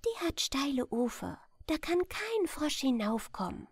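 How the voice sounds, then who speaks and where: A woman's voice: high-pitched, big pitch swings, measured pace, no echo, voice pushed up, exaggerated voice. A woman voicing a tiny, kind dragonfly with a thin, whispery little voice in a fairy tale. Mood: kind, reassuring.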